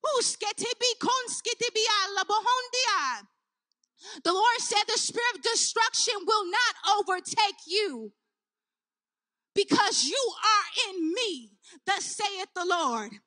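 A woman with a very high, bright, forceful voice leads a fervent prayer, crying out and breaking with emotion as she slips into tongues. She draws her phrases out slowly, then rushes through others. The sound is dry and close. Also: animated, no room sound. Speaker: prayer leader.

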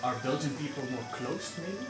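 A man asking interview questions in a halting way, often rephrasing mid-sentence. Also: a little high, expressive. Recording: roomy sound with loud music behind.

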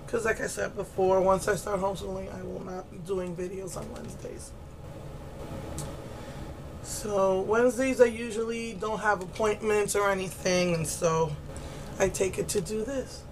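A woman's voice: mid-range voice, steady tone, moderate pace. A middle-aged woman talks through a makeup tutorial in a loose, rambling way, full of ums. Her voice is stuffy and congested as she recovers from laryngitis. She sits close to the camera in a small room, with a faint hiss behind her. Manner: chatty, rambling with many ums. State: congested, getting over laryngitis. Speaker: makeup vlogger. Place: indoors, small room against a pale blue wall. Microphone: camera close to the face.

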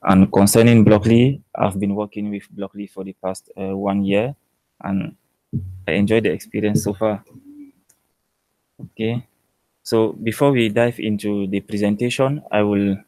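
Low-pitched Cameroonian man presenting. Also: darkish tone, no room sound, rough recording. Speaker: PhD student presenting research. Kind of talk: technical conference presentation. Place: indoor presentation room.

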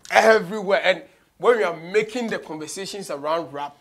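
A man's voice: high-pitched, a little fast, fairly bright tone. A man in his thirties with a Ghanaian accent, hosting a casual conversational interview. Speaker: radio show host, interviewer. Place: small indoor studio.

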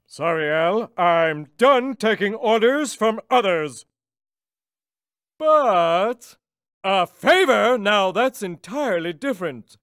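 A man's voice: high voice, animated, slow pace, fairly bright tone, smooth, no room sound, studio quality, exaggerated voice. An actor playing a friendly wisecracking genie in a video game, chummy and playful like a showman. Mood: chummy, apologetic. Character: wisecracking, friendly larger-than-life male genie. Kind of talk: animated video game acting.

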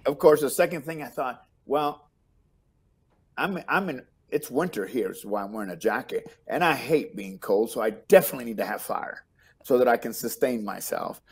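A man's voice: mid-range voice, lively intonation, normal speed, husky. A middle-aged man with a Texas accent, a guest chatting casually on a video call, rambling and repeating words as he starts sentences. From Texas, United States. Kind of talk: casual interview chat. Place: home room, on video call.

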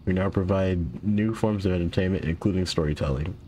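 A man's voice: low voice, brisk, dark tone, slight background noise. A young man casually playing a horror game and reading notes aloud, stumbling and correcting himself while his voice gives out. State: losing his voice. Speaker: gaming YouTuber.

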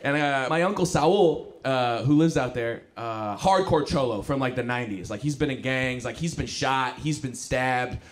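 A man's voice: mid-range voice, moderate pace, hardly any pauses. A young man from Los Angeles doing a stand-up set on a handheld mic, casual and full of fillers. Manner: casual, filler-heavy storytelling. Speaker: stand-up comedian. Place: comedy club stage, indoors. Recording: roomy club with crowd noise.

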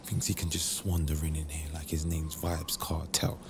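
A man's voice: high-pitched, huge pitch swings, moderate pace, slight background noise, heavily acted. A man playing a jealous, hot-headed young London street lad, slangy, indignant and confrontational in a comic dub. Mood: aggrieved, muttering.

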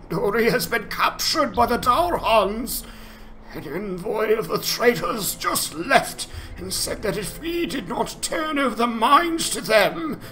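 A man's voice: extremely high pitch, bright, forceful tone, voice pushed up, exaggerated voice. A man voicing an anxious, despairing dwarf longbeard from a fantasy game, with a plaintive, dramatic delivery. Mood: alarmed.

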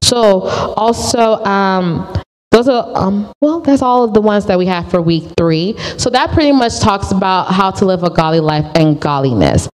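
A woman's voice: medium pitch, expressive, normal speed, long reverb tail, low-quality recording. Woman teaching a youth church class. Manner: earnest, repeats words. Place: church stage. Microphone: handheld mic.

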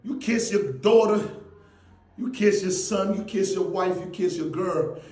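A man giving a shouted motivational speech, fiery and preachy, hammering the listener with rhetorical questions. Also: high voice, a little slow, echoey room. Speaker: motivational speaker.

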